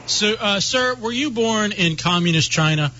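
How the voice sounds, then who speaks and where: Radio host guy, high bright voice, teasing and joking around, kinda muffled. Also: expressive, moderate pace. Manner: teasing, mock-offended, playful. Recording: dull radio audio with faint hiss.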